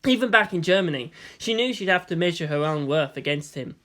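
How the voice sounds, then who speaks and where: A narrator giving an expressive reading of fan fiction, like an audiobook. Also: medium pitch, a little fast.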